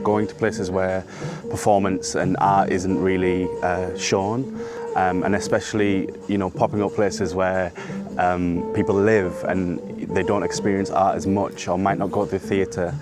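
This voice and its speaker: Deep-voiced man interviewed over loud music. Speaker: choreographer and movement director, interviewee. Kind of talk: informal documentary interview talk.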